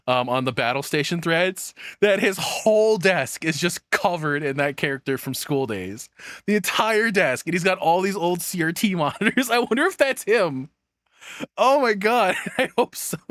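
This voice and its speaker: A man's voice: on the high side, a bit bright, no room sound, clean studio sound. A man doing casual live reaction commentary as a streamer, rambling and swearing in an informal way.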